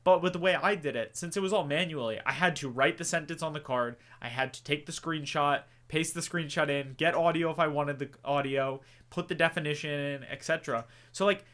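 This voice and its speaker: Chatty man with a bright, higher voice. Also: a little fast, few pauses. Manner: loose and rambling, with joking asides. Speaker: YouTuber answering viewer questions. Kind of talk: casual Q&A chat to camera.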